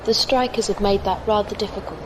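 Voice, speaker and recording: An actress playing a polite, formal and precise humanlike android squire in a science fiction audio drama. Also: female voice, mid-range voice, steady tone, a little fast, noisy background, close to an everyday voice. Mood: cool, wary.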